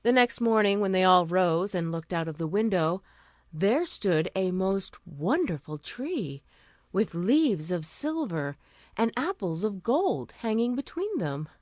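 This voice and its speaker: A woman narrating a scripted fairy tale as a storyteller, expressive and deliberate. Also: mid-range voice, dry recording, clean studio sound.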